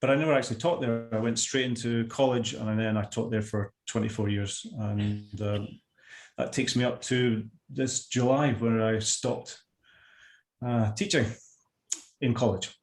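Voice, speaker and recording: A Scottish man in his sixties chats as a guest over a video call, his middle-pitched voice ringing in a roomy, echoey space. He talks in an unhurried, self-deprecating way, often restarting his sentences. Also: fairly even intonation. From Scotland. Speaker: guest artist. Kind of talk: casual interview chat.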